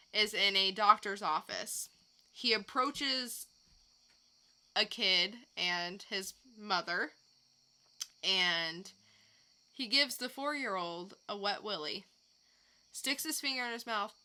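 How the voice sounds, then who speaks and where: A woman co-hosts a podcast in a bright, crisp-edged voice of medium pitch. She takes her time, telling stories in an unhurried, conversational way. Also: expressive. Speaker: podcast co-host. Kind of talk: casual chat, recounting odd news stories.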